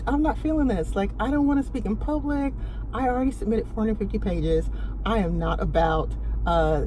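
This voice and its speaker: A woman's voice: medium pitch, dark tone, a little hiss or hum, rough recording. An American woman explaining things casually and comically as a show host, playfully putting on mock voices for the people she quotes.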